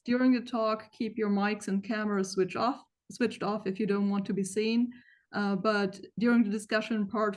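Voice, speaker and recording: A young woman with a mid-range voice opens an online event over a video call. She is friendly and informal, with many filler pauses between her short announcements. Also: mid-range voice, a little mellow. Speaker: moderator introducing a speaker. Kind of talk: online event welcome and housekeeping.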